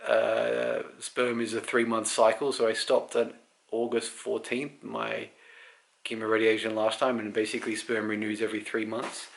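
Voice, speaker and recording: A man in his thirties talks off the cuff with plenty of vocal fry and a fairly flat melody. He rambles candidly, pausing to think aloud, in the manner of a personal video diary. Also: fairly low pitch. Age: 30s. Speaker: vlogger. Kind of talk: casual unscripted personal health update.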